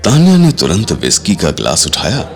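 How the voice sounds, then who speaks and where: A man's voice: deep voice, wildly swooping pitch, moderate pace, faint music behind. A man narrating a scripted audio drama in a dramatic storytelling style.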